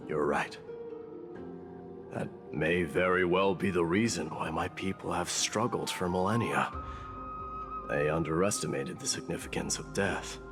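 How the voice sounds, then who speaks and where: Deep-voiced stoic warrior prince. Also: male voice. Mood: reflective, resigned. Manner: grave, formal, measured. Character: proud, stoic warrior prince, demigod, resigned to his fate. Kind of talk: scripted fantasy game voice acting. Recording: music behind the voice.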